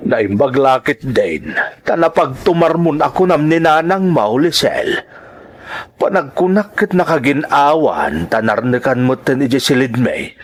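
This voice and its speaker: Man as an aging farmer father, mid-pitched, weary, fond, gentle, explanatory, radio-style drama. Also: expressive, unhurried. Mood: gentle, reassuring. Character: tired, hardworking elderly farmer father, fond and reassuring.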